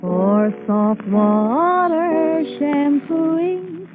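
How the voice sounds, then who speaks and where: A woman's voice: sits high, very slow. A woman delivering a 1940s radio advertising jingle in a sung style. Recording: tinny vintage radio broadcast, music.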